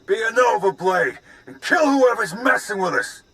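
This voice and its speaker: An actor playing a bullying, hard-bitten pirate commodore in a video game, forcefully barking orders. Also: male voice, high voice, very animated, moderate pace. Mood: defiant, angry.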